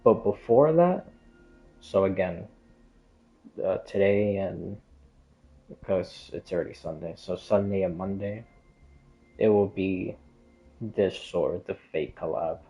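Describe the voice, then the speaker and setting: Low, slow-talking man rambling on stream. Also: expressive, warm tone. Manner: hesitant, meandering and repetitive. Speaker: game livestreamer. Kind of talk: casual rambling stream chat. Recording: dull, muffled lo-fi stream audio.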